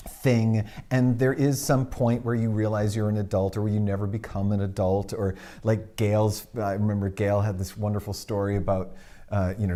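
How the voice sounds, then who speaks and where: A middle-aged man co-hosting a casual talk show, speaking haltingly and telling anecdotes. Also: fairly low pitch, warm tone. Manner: halting, hesitant, retells anecdotes. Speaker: co-host. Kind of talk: casual chat.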